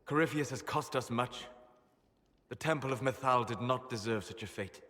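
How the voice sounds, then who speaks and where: Male actor as a learned elf mage, grave and measured, mid-pitched voice, long reverb tail on the game audio. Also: fairly bright tone, close to an everyday voice. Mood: melancholy. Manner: measured, somber, lecturing.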